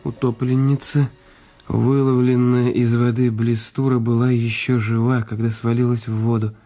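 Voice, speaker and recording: Male actor as a secretive husband, dark relaxed tone, little pitch movement, brooding, deliberate, radio play. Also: natural voice. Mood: reading gravely.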